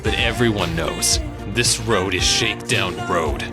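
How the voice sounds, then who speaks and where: A man's voice: deep voice, unhurried. A man voicing a brash, pushy, foul-mouthed young guy in an amateur anime-style fan dub. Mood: pushy, aggrieved. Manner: crude, pushy. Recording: loud music over the voice.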